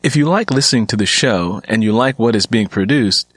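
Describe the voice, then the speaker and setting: A man's voice: fairly low pitch, expressive, fairly quick, a bit bright, no echo. A man speaking as an audio drama's narrator and producer, addressing listeners in a scripted podcast style.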